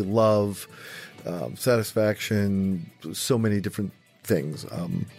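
A man's voice: low-pitched, darkish tone, breathy edge. A man hosting a podcast, asking questions in a conversational interview style.

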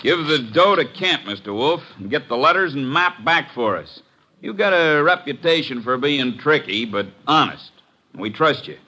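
An actor playing a tough, cocky con man with rough, slangy talk in a 1950s radio drama. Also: on the high side, expressive, normal speed, bright, forceful tone. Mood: grudging. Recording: dull, noisy vintage radio recording.

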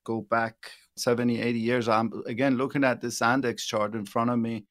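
Man in his sixties, radio host, halting with fillers, mid-pitched, dry close studio sound. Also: flat delivery, no room sound. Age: 60s. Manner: halting, repeats words with fillers. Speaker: financial advisor hosting a retirement radio show. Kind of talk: casual radio chat.

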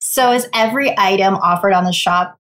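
A woman's voice: medium pitch, a little fast, a bit bright, echoey room, a little hiss or hum. A woman hosting a podcast, presenting and interviewing in a casual, conversational style. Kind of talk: scripted intro and casual interview.

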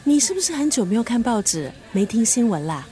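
An actress playing a scornful, sharp-tongued family friend in a radio drama, sarcastic and blunt, with a Singaporean accent. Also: female voice, fairly high pitch, sing-song, a little fast. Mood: brisk explaining. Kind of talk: Mandarin radio drama acting.

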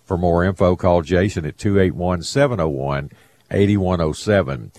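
A man hosting a fishing radio show, chatting in a relaxed, folksy way and reading ads. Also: low voice, moderate pace. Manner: laid-back, folksy, laughs easily. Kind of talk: casual radio chat and read ads.

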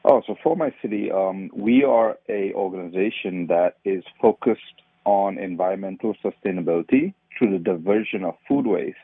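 A man's voice: sits low, normal speed, slightly rough, dry recording. A man from Trinidad with a Trinidadian accent, explaining his nonprofit work as an interview guest. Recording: tinny phone-like line, dry.

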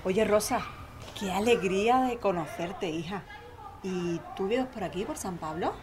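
A woman's voice: medium pitch, unhurried, a crowd in the background, background noise, lightly acted. Chatty Andalusian neighbour woman played by an actress, faint ambience. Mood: effusive. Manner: effusive, folksy, chatty. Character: chatty, cheerful office-worker mother, keen football fan. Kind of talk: conversational audio fiction acting.

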